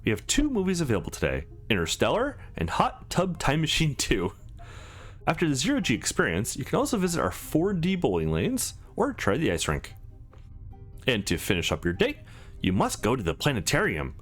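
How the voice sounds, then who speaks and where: A man acts out a courteous, upbeat attraction guide in a sci-fi visual novel game. The delivery is polite patter with a very animated, often raised pitch, and music sits underneath. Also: fairly quick. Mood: helpful, upbeat.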